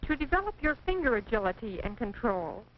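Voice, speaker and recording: An American woman reading scripted 1940s training film narration in a precise, measured, instructive manner. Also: on the high side, very animated. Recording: dull, noisy 1940s film soundtrack.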